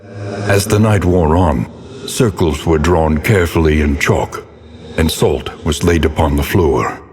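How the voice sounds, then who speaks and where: An actor playing a grave, erudite older scholar in a horror game, narrating a dark occult past with solemn, deliberate, ornate diction. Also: male voice, extremely low pitch, husky, full character voice. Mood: grave, brooding. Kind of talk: dramatic scripted gothic narration. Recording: long cavernous reverb.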